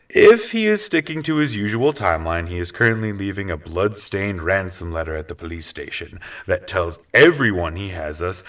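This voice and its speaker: A man's voice: deep voice, fairly bright tone, distant mic, full character voice. A man playing a cocky, wry vigilante detective with no powers in a comic superhero audio drama, talking casually and sardonically. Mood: confident, dry.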